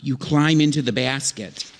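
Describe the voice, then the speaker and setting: A man's voice: mid-range voice, measured pace. A man narrating a comic sketch theatrically in old-time radio style, on a reverberant theatre stage.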